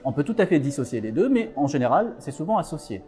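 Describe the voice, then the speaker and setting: A man's voice: a little high, very animated, quick pace, dark tone, faint music behind. A middle-aged man from Algeria, a psychiatrist and author, answering interview questions with many fillers and restarts.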